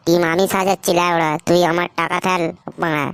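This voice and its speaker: A woman's voice: lowish voice, flat delivery, a little fast, very noisy, close to an everyday voice. A woman voicing a hot-headed, greedy young male sidekick in a comic cartoon, combative and slangy. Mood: angry, threatening.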